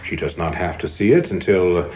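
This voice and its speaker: An actor playing a coldly zealous young government official in a radio play, speaking formally and insistently with polite deference that cuts. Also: male voice, low-pitched, sing-song, fairly quick, darkish tone. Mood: insistent, clipped. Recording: clearly noisy background ambience.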